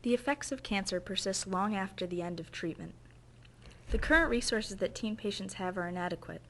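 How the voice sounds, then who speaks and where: A woman narrating a documentary in an earnest, measured, scripted way. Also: mid-range voice, expressive, a bit bright, a little hiss or hum.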